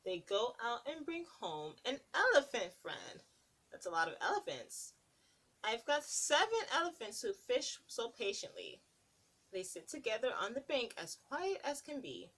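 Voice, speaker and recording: A woman's voice: a little high, sing-song, moderate pace, a bit bright. A woman preschool teacher reading a picture book aloud to young children, stopping to ask them questions. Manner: sing-song, asks children questions between pages.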